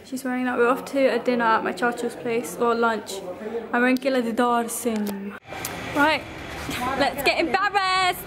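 A woman's voice: a little high, moderate pace, crowd noise. A young woman vlogging casually while traveling, chatty and giggly, talking to the camera from a car and then outdoors. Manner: chatty, giggly, self-conscious. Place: inside a car on busy streets, then walking outdoors. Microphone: handheld camera mic. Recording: loud traffic and engine noise. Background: car engine and loud street traffic.

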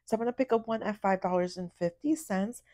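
A young woman with a raspy, fading voice after a cold, giving a casual voiceover for her couponing shopping vlog. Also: medium pitch, fast, mellow, dry recording, studio quality. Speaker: couponing vlogger. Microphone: voiceover mic.

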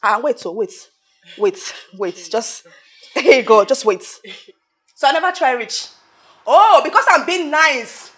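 A young Nigerian businesswoman in a film drama, with a high voice. She is domineering and sorry for herself, and she pleads and repeats herself with great drama. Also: lively intonation, measured pace, long pauses, fairly bright tone, breathy edge, exaggerated voice, poor audio. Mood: outraged wailing. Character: self-pitying, domineering young businesswoman housing her friends. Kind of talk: emotional film drama acting.

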